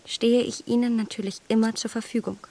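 A woman's voice: on the high side, flat delivery, brisk, dark tone, close to an everyday voice. An actress playing a well-bred, impulsive young English lady in a German radio play, polite on the surface and privately flustered. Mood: apologetic, sincere. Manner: polite, breathy asides to herself.

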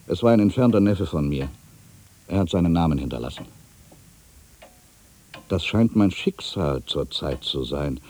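An older man playing an ageing, bitter writer in a literary radio drama monologue, musing theatrically with rhetorical questions and pauses. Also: low-pitched, dark tone, exaggerated voice. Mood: brooding. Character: ageing male writer, brooding, bitter, addressing his past.

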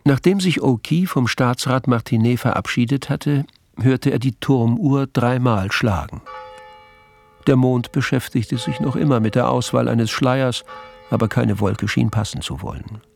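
An actor serving as the narrator of a mystery radio play, reading scripted literary narration. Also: male voice, mid-range voice, unhurried, gravelly, darkish tone. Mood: narrating.

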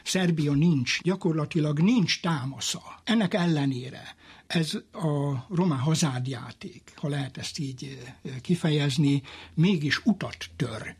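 Man, higher-pitched, very raspy, formal academic delivery, deliberate expert explanation. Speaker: history expert guest. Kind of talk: explanatory history interview.

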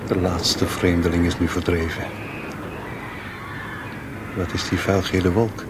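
A doomed ruler, proud and defiant, with a very low voice. He declaims slowly and dramatically, as in a radio play, and loud effects noise surrounds him. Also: flat delivery. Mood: alarmed, searching. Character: defiant doomed ruler in a burning Chinese city.

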